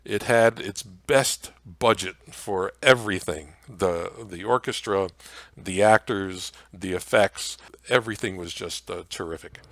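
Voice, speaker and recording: A man with a low voice gives an informal history lecture. He speaks haltingly, pausing to search for words, and the sound is dry and close. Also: a bit bright, no echo. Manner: halting, frequent uhs. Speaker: presenter. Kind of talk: informal slide lecture on old-time radio history.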